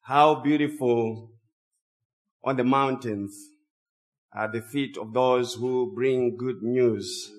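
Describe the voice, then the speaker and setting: Guy from zimbabwe preaching slow and drawn out, got a cold, english not his first language. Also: medium pitch, fairly even intonation. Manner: slow, drawn-out emphatic phrasing. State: has a cold. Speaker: guest preacher. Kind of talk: sermon.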